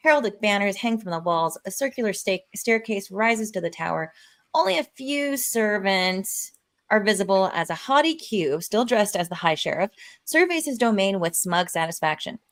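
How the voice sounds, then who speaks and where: A woman's voice: medium pitch, animated, moderate pace, a bit bright. A woman reading stage directions as narrator in a live amateur table read, with a dramatic storytelling manner.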